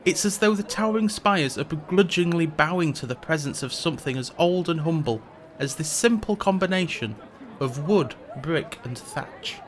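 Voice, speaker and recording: A man's voice: on the high side, lively intonation, moderate pace, a faint crowd, close to an everyday voice. A man playing a reluctant, sardonic male mage who hosts a tourism show, delivering scripted comic narration with dry, sarcastic asides. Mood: wry. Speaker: podcast host.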